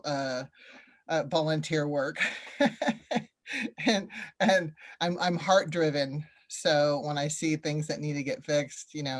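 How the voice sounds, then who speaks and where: Even-toned American woman, medium-low register. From northern California, USA. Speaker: new commissioner. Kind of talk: informal self-introduction. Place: online video meeting. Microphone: video call.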